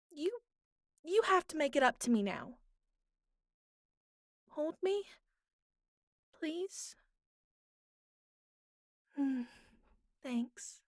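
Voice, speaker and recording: A woman acts out a young female captive who is bratty on top and fragile underneath. Her high voice moves very slowly and haltingly, slipping between pouting and soft pleading. It is an intimate audio drama, recorded close and dry. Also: no echo, exaggerated voice. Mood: cranky, pleading, then soft, content. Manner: halting, pleading, pouty, soft breathy asides. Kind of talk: intimate audio roleplay addressed to the listener.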